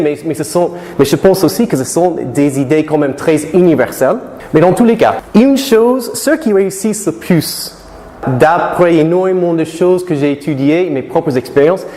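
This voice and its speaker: A man's voice: high-pitched, mellow. American man lecturing in an echoey hall. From United States. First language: English. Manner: asks the audience many questions, halting with restarts. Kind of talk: live conference talk to students. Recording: echoey hall, long reverb.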